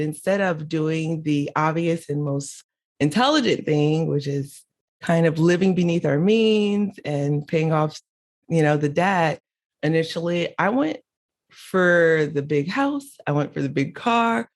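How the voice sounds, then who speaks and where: A woman with a low voice, middle-aged, speaks as a guest on an interview podcast. She tells her own story candidly, with laughs here and there. She was born in Haiti and raised in Miami.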